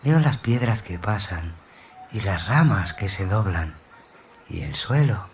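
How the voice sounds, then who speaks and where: A man plays a frightened schoolboy in a trance, in a radio drama. The breathy voice moves slowly and haltingly, as if dreaming, with ambient noise behind it. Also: low voice, expressive, full character voice. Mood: entranced. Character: frightened, entranced schoolboy recalling his life as a beast. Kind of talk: dramatic radio play storytelling.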